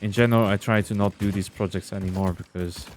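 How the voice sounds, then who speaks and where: Relaxed low-voiced young man. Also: fast talker, soft background music. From Belgium. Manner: relaxed, chatty, reading and answering chat. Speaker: streamer. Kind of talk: casual gameplay commentary with chat.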